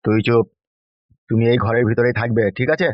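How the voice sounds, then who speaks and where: A man's voice: mid-range voice, little pitch movement, brisk, no echo, muffled recording, close to an everyday voice. A man voicing a bullying, lecherous local politician in a comic cartoon, crude and blustering. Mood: possessive demanding. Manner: blustering, crude, exclaiming in repeats.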